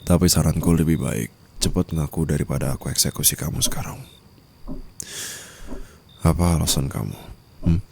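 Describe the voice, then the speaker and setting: A man's voice: moderate pace, pauses often, a little mellow, slight background noise. A man playing a dominant, menacing rich husband in an ASMR roleplay, murmuring taunts intimately and slipping into whispers and English endearments. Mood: interrogating. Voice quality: very deep, gravelly. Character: dominant, menacing wealthy new husband toying with treacherous bride.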